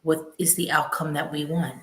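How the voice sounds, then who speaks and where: Woman with a low voice hosting a live q&a, asks questions, halting and thinking out loud. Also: fairly even intonation. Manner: thinks aloud, halting phrasing. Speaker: host. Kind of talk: live Q&A interviewing.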